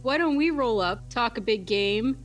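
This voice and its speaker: A woman's voice: fairly high pitch, expressive, normal speed, faint music behind. A woman in casual improvised role-play as a tabletop game player, chatty and thinking aloud.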